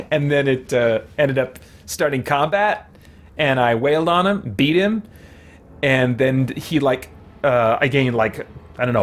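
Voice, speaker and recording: A man doing casual game livestream commentary, thinking aloud and muttering short remarks to himself as he chats to viewers. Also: mid-range voice.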